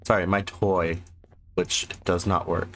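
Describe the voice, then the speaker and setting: A sick, hoarse man casually commenting on a game he plays, rambling and sarcastic as he reads the game text aloud. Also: low voice, flat delivery, unhurried, background noise. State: sick, losing his voice. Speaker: gameplay commentator. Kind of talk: casual let's-play commentary.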